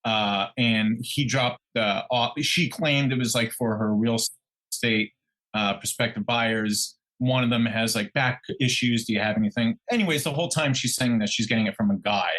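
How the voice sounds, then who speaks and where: A man's voice: mid-range voice, moderate pace, a bit bright, rough recording. A man co-hosting a true crime podcast, chatting informally and without a script. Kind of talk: casual co-hosted podcast chat.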